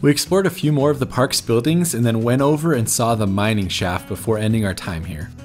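A man's voice: mid-range voice, fairly quick, a little mellow, soft background music. A man in his thirties narrating a road-trip travel video, giving viewers practical tips in a scripted voice-over. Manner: informative, recommending tips to viewers. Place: voice-over recorded apart from footage.

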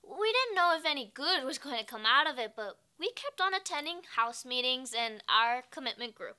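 A young actress playing a feisty farmworker sister looking back in a dramatized oral history. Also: female voice, high-pitched, big pitch swings, moderate pace, pushed and bright, smooth, full character voice. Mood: resolute. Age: young adult. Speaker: actor performing a monologue.

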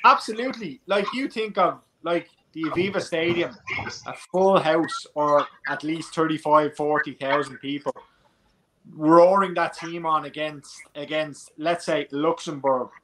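A man's voice: on the high side, unhurried, noisy background. An Irish man giving his opinions on football in a casual post-match chat over a video call, passionate and repeating his points for emphasis. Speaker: football pundit.

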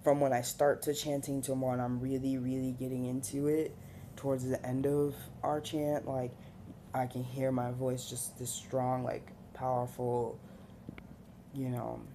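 A man's voice: mid-range voice, mellow, a little hiss or hum. A young man talking casually to his webcam on a porch, rambling with many fillers and restarts. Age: young adult. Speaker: vlogger. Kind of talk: casual rambling vlog update. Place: porch outdoors, seated on wicker chair.

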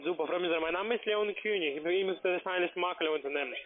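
A high-voiced man speaks with a foreign, non-native accent. He sells investments over a thin, tinny phone connection, stumbling politely through broken phrasing in a formal sales pitch. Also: racing. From Slovakia. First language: not German. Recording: narrow-band, tinny phone line.

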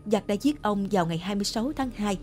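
A woman's voice: mid-range voice, lively intonation, racing, darkish tone, faint music behind. A woman giving scripted historical narration as the narrator of a story.

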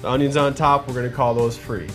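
A man in his thirties with a mid-range voice, hosting a cooking show in a studio kitchen. He talks in a chatty, upbeat way straight to the viewers. Music plays clearly behind him, with a pan sizzling. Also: mid-range voice, fast. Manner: energetic, chatty, addresses viewers as guys. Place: home-style studio kitchen, indoors. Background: background music, sizzling pan.

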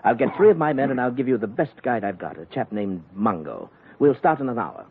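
An actor playing a brisk, courteous British colonial police lieutenant in an old radio adventure. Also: male voice, mid-range voice, very animated, heavy background noise. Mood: hearty, commanding. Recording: muffled old radio recording.

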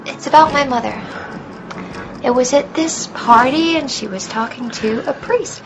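A woman's voice: high-pitched, measured pace, music in the background, low-quality recording. An actress playing a possessed twelve-year-old girl in a radio drama, eerie, dreamy and knowingly taunting.

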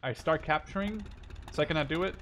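A man in his twenties from the Netherlands, hosting a gameplay video in casual chat with a friend, excitable and teasing, swearing often. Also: fairly high pitch, fairly quick. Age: 20s. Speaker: gaming video host. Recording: game sounds loud behind voice. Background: game noise, gunfire.

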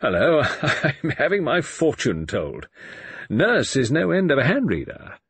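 A man voicing a bluff, sheepish, concerned English gentleman in an audiobook, hearty and apologetic. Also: fairly high pitch, huge pitch swings, measured pace, breathy edge, pitched above the performer's own voice, heavily acted. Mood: sheepish, jovial.

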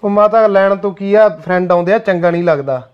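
A spoiled, demanding young son, played by a man, whining in a high, quick voice with a smooth, clean tone. The recording is dull and lo-fi. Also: lightly acted. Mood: whiny. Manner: whines and pleads.

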